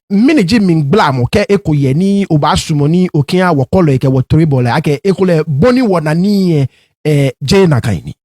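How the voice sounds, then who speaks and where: A man asking a long interview question, hesitant with frequent pauses and restarts. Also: on the high side, a little mellow, no room sound, clean studio sound. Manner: halting, many fillers and restarts.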